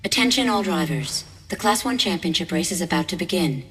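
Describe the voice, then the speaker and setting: Echoing stadium race announcer. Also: female voice, fairly low pitch, expressive, fairly quick. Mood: formal. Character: impersonal female race announcer. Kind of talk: public announcement in a video game. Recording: echoing loudspeaker hall, faint noise.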